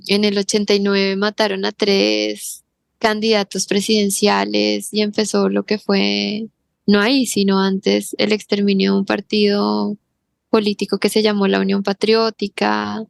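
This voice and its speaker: Creaky, dry-voiced Colombian woman in her forties. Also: mid-range voice, monotone, measured pace, no echo. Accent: Bogotá Colombian Spanish. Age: 40s. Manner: thoughtful, searching for words with frequent restarts. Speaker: guest. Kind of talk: reflective conversational interview.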